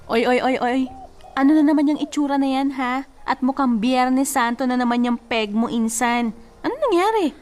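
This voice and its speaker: A woman's voice: high voice, normal speed, darkish tone, slight background noise, close to an everyday voice. A woman acting a blunt, exasperated young female cousin who scolds and talks in a sassy, colloquial way in a Tagalog radio drama. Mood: nosy, goading.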